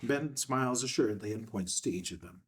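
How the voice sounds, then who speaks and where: A man's voice: on the low side, expressive, brisk. A man narrating scripted stage directions for a screenplay read, recorded at some distance from the microphone.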